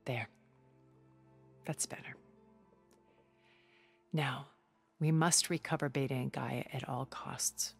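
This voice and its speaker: Low-voiced, poised immortal woman. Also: fairly even intonation, gravelly, breathy edge, exaggerated voice. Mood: quietly insistent. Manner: formal, genteel, measured phrasing. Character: poised, cultured immortal woman, seductively persuasive, coolly condescending. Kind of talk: cinematic video game cutscene acting. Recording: background music under the dialogue.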